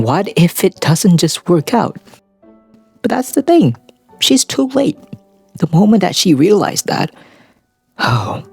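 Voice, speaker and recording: Creaky-voiced man reviewing anime over music. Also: medium pitch. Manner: informal, profane, dramatic asides. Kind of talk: casual opinionated video essay commentary. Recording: background music under voice.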